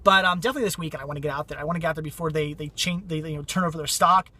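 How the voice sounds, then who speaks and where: A man chats casually about his finds, rambling and upbeat. His voice sits high and sounds bright. He mentions he is losing it to a cold. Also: racing, slightly rough. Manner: rambling, enthusiastic, repeats "very cool". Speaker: vlogger showing thrift finds. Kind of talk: casual vlog chat.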